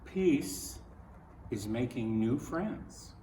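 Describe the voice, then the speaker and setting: A man reading a picture book aloud to children, speaking in simple, deliberate phrases and putting questions to the listener. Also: mid-range voice, expressive, warm tone. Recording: roomy, distant mic, clearly noisy.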